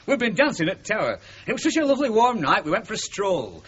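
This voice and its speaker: A man's voice: high-pitched, big pitch swings, quick pace, slightly rough, exaggerated voice. An actor playing a blustering, proud middle-aged working-class father with a Northern English accent in a radio comedy drama. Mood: nostalgic. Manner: blunt, loud, argumentative. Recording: muffled old radio broadcast, crowd chatter.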